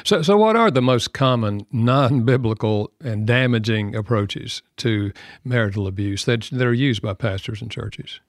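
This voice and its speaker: A man's voice: medium pitch, moderate pace, dry recording, clean studio sound. A man hosting a radio interview in a conversational style, putting questions to a guest. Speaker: radio show host.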